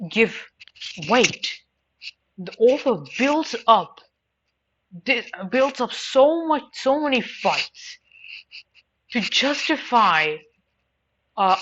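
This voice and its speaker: A woman giving a casual, unscripted opinion review, rambling and repeating words emphatically for stress. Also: lowish voice. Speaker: anime and manga reviewer. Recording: dull lo-fi mic with faint noise.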